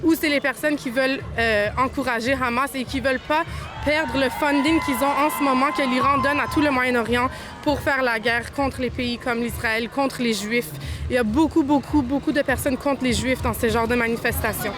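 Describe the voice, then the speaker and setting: A woman's voice: high-pitched, fairly even intonation, moderate pace. A woman at an outdoor protest giving an emphatic street interview, often raising her voice. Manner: emphatic, raises voice. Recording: crowd and music behind.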